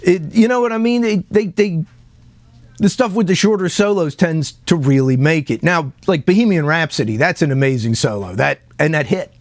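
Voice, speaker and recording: A man's voice: a little high, normal speed, dry recording. A man giving casual, unscripted commentary as a video host, conversational and often repeating words as he searches for the next one.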